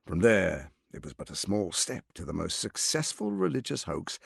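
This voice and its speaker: A man's voice: low voice, huge pitch swings, normal speed, creaky, a bit bright, husky, no echo, clean studio sound, exaggerated voice. A man playing a suave, scheming, arrogant tyrant lord in a video game, with a pompous, silky, theatrical delivery. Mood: smug, scornful.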